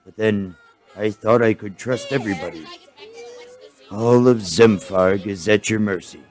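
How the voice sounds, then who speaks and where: An actor playing a mild, world-weary alien chancellor with a formal, hesitant, stagey manner in a comic radio play. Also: male voice, lowish voice, a little slow, a little mellow, soft background music, lightly acted. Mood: dejected.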